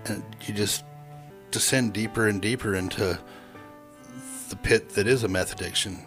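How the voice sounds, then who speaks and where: A man's voice: fairly low pitch, normal speed, a bit bright, faint music behind. A man in his forties explaining his experience in a thoughtful way. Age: 40s. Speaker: interviewee. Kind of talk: personal testimony.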